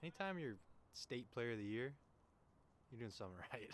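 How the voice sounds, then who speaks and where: A man's voice: medium pitch, animated, moderate pace. A young man from Canada doing chatty, slangy play-by-play commentary of a baseball game in an informal, jokey way. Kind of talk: casual, chatty live sports commentary. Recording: noisy live ballgame broadcast.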